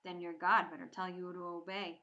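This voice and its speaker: A woman's voice: on the low side, little pitch movement, fairly quick, sharp tone, muffled recording. A woman voicing a proud teenage Viking boy who talks in a haughty, commanding way.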